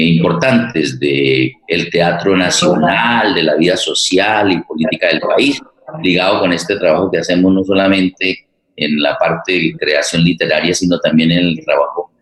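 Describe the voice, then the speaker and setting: A man with a Colombian accent hosting a live programme, speaking in a halting, deliberate way and often repeating words. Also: low voice. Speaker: host of a radio theatre programme. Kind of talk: live presentation to an online audience. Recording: roomy, echoing voice.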